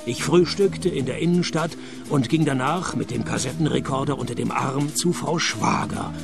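An actor playing a cynical private detective who narrates a radio play in a dry, sardonic tone. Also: male voice, medium pitch, moderate pace, creaky, over music, natural voice. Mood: deadpan. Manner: deadpan, sardonic.

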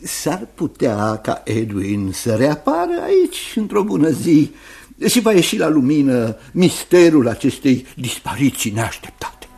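An actor playing a fussy, kindly older guardian in a radio drama, deliberate and hemming as he talks. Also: a little high, huge pitch swings, vocal fry, darkish tone, exaggerated voice. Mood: matter-of-fact, lively. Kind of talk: Romanian radio theatre acting.

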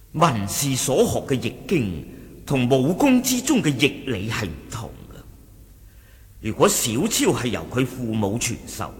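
A Cantonese-accented sect lieutenant in a martial-arts radio drama. His pitch sweeps dramatically as he reports to his chief, wary and respectful, breaking into emphatic exclamations. Long hall reverb surrounds him. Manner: deferential, storytelling with emphatic exclamations.

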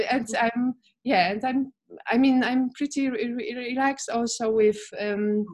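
A woman's voice: a little high, steady tone, slow, darkish tone. A woman of about forty interviewing someone, speaking hesitantly with frequent fillers and restarts. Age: 40s. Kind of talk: casual interview.

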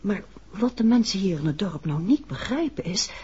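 A curious detective's wife in a vintage radio serial. Her voice is mellow and very husky, swinging freely as she confides and speculates. The old recording is muffled. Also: mid-range voice, expressive, moderate pace. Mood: intrigued. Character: curious, sharp detective's wife sharing village gossip. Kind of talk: 1960s radio drama acting.

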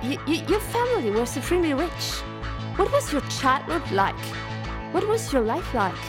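A woman's voice: sits high, big pitch swings, moderate pace. An actress playing an intrigued woman interviewing a guest on a talk show in a radio play. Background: loud music.

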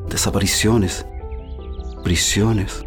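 A man with a Chilean accent acting in a radio drama as an earnest, moved witness, speaking in solemn, measured, declamatory phrases. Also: on the low side, fairly even intonation, over music.

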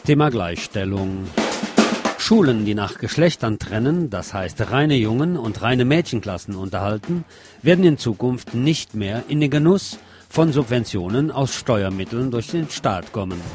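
A man's voice: mid-range voice, animated, moderate pace. A man presenting radio news, reading from a script with the odd informal aside. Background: music.

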